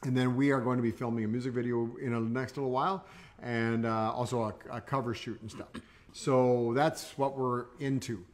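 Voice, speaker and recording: A man's voice: mid-range voice, flat delivery, normal speed. An older man, co-hosting a music livestream from his living room, chatting eagerly and echoing his partner. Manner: enthusiastic, chatty, echoes his partner's words. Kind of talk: casual chat. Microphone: studio mic on stand, far camera.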